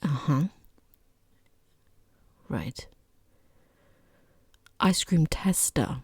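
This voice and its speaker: A woman playing a rude, condescending female boss interviewing a job applicant in soft-spoken ASMR, half-whispered, with dry, sarcastic politeness. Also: low-pitched, sing-song, extremely slow, slightly rough, dry recording, exaggerated voice. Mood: dryly patronizing.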